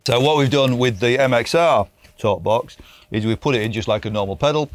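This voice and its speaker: A man's voice: medium pitch, brisk. A man presenting guitar gear in a casual, explanatory, matey chat, speaking informally. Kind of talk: casual product demo chat. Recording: clear background noise.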